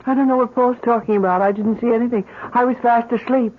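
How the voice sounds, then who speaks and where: A woman playing a bereaved wife and mother in an old radio melodrama, fragile, halting and pleading. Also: fairly high pitch, steady tone, close to an everyday voice. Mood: dazed, subdued. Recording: muffled, noisy vintage radio recording.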